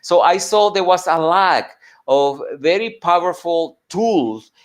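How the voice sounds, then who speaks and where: A man from Venezuela, a native Spanish speaker with a Venezuelan accent, telling his story informally as an entrepreneur and mentor, in an earnest, halting way that repeats phrases. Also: high voice, animated. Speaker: entrepreneur and mentor giving a talk.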